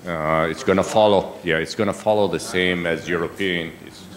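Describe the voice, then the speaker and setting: Very deep-voiced man commenting from the audience. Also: fairly even intonation, normal speed. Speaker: audience member. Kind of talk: comment from the floor.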